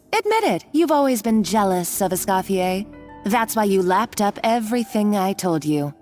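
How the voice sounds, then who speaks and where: A woman's voice: fairly low pitch, lightly acted. An actress voicing a scheming, mocking woman villain in a video game, speaking in a bossy, condescending way. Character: scheming, taunting woman villain, cruel and gloating. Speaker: game character.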